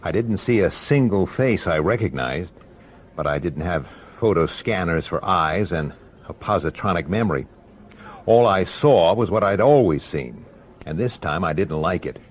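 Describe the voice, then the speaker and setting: An actor playing a world-weary middle-aged police detective in a radio drama, speaking tersely and dryly, also as narrator. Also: male voice, low voice, lively intonation, moderate pace, slightly rough. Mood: wary, low. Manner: terse, dry, clipped. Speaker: detective and first-person narrator.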